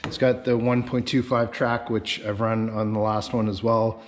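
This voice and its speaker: A man giving a casual, enthusiastic vlog tour in a garage, pausing often as he finds his words. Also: fairly low pitch, flat delivery, a little hiss or hum. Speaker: snowmobile vlogger. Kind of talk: casual walkaround tour to camera. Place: residential garage with concrete floor.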